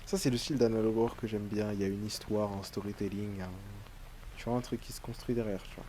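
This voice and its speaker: A man's voice: fairly low pitch, steady tone, fast talker, warm tone. A man giving informal, slangy reaction commentary to a horror video as its creator and host. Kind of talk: casual reaction commentary with translation. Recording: heavy background noise and clicks.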